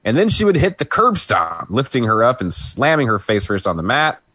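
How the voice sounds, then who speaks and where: A man's voice: medium pitch, wildly swooping pitch, normal speed, pushed and bright, husky, dry recording. A man co-hosting a podcast, recapping a wrestling match in casual chat, rambling with fillers and restarts. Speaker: podcast co-host.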